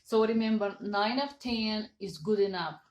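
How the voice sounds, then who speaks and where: A woman's voice: medium pitch, measured pace. A woman in her thirties with a Bulgarian accent, earnestly giving business advice to a webcam and stressing each numbered point. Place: home office with certificates on wall. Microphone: webcam at desk. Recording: echoey room.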